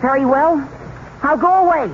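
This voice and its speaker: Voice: high-pitched, normal speed, lightly acted. An actor playing a lovesick, awkward teenage boy in 1940s radio comedy, declaiming with pompous earnestness. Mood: noble, heartbroken. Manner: melodramatic, declaiming. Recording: muffled, noisy old radio recording.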